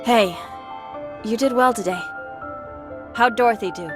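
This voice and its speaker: A woman's voice: on the high side, measured pace, over music, lightly acted. An actress playing a terse, stoic young female soldier in a video game, clipped and understated.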